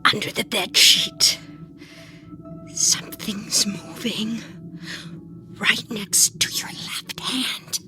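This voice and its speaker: A woman's voice: medium pitch, steady tone, drawn-out words, pauses often, music in the background. A woman playing a frightened, wounded wife in a suspense audio drama, fierce and distraught as she faces small intruders. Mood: tense, hushed.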